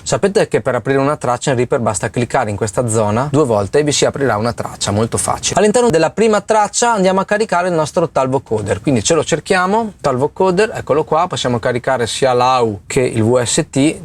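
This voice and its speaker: A man hosting an informal step-by-step music software tutorial, explaining things and often checking in with short tags. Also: medium pitch, animated, fairly quick, hardly any pauses. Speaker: host of a music production tutorial channel.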